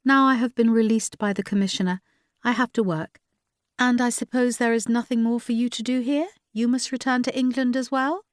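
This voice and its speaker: A woman's voice: a little high, fairly even intonation, brisk, no room sound, clean studio sound, pitched above the performer's own voice, close to an everyday voice. A woman voicing a young French policewoman with a French accent who speaks formally.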